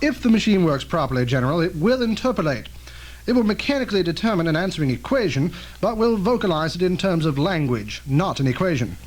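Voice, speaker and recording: A man's voice: high-pitched, big pitch swings, quick pace. A man playing an earnest, cautious scientist in an old-fashioned radio drama, speaking in a formal, precise way. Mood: explaining. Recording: noisy old tape with hum.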